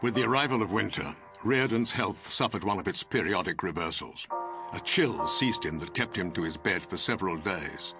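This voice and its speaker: A man's voice: sits low, animated, moderate pace. A man, reading scripted literary narration for a period drama. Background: music.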